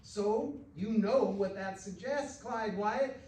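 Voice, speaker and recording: A man's voice: sits high, slow pace, warm tone, voice pushed up. Man playing a sly old cowboy hustler, roomy sound. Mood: sly pitching. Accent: American. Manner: folksy, sly, salesmanlike. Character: wily old rodeo-veteran rope braider, cowboy hustler. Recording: roomy, distant stage recording, faint noise.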